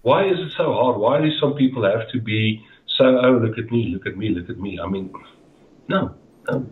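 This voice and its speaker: A man's voice: lowish voice, moderate pace, a little hiss or hum. A middle-aged man with an Afrikaans accent, speaking as a guest in a casual interview over a video call, rambling and often repeating his words. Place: dim home room. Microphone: headset on a video call. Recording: echoey tinny video-call audio.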